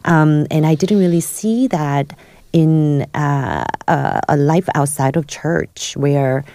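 A woman from South Korea speaks as a studio interview guest, her voice low and mellow. She tells her story in a halting way, full of ums and restarts. The sound is dry and close. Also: dry recording. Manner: hesitant, many fillers and restarts. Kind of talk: podcast interview, personal story.

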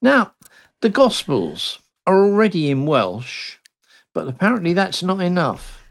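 A man hosting a live radio show, talking conversationally. His pitch moves up and down in a lively, animated way. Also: measured pace, vocal fry. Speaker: radio presenter.

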